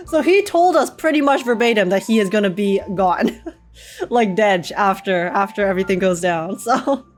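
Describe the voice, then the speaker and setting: A woman's voice: mid-range voice, lively intonation, moderate pace. A young woman giving casual reaction commentary on a game story, thinking aloud in a chatty way.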